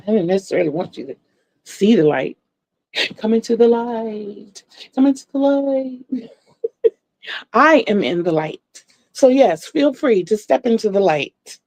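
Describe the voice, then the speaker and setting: A woman's voice: medium pitch, dark tone, no room sound. A woman in her sixties chatting casually to viewers from home, laughing often and repeating words. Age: 60s. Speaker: livestream vlogger. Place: home room lit by a floor lamp.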